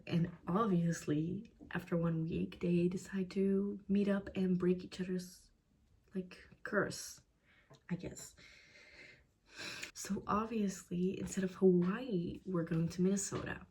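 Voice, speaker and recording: A woman's voice: lowish voice, steady tone, a little slow, dark tone. A young Dutch woman giving a casual, blunt book review in English with a Dutch accent. She swears freely and often drops into a whisper. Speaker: book vlogger.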